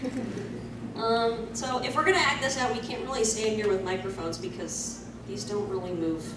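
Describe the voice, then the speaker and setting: A woman's voice: fairly high pitch, fairly even intonation, moderate pace. A young woman hosting a panel, giving a comedic live reading with wry asides and projecting her voice to the room. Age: young adult. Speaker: convention panel host. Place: small convention panel room. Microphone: far camera. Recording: echoey room, distant camera, noisy.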